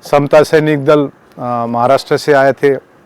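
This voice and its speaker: A man speaking as a protest organiser, giving a campaign statement in a halting, repetitive way and mixing in English words. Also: medium pitch, steady tone, darkish tone, clean tone. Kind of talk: spoken public statement on a campaign.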